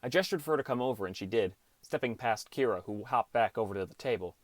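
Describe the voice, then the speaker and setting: A man's voice: mid-range voice, expressive, quick pace, dry recording, close to an everyday voice. An actor playing a downcast teenage boy who narrates his gaming adventure in an understated, wry first-person audiobook style. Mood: reflective narration. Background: music.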